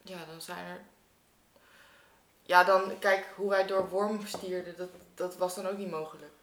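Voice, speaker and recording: Lowish-voiced woman, echoey room. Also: flat delivery, measured pace, a bit bright. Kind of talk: casual podcast discussion. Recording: echoey room with faint hiss.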